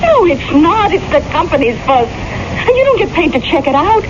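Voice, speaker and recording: A woman's voice: very high-pitched, sing-song, normal speed. A woman playing a nagging, suspicious wife who presses with querulous questions, in 1970s radio drama style. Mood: suspicious, accusing. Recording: muffled vintage radio, noisy background.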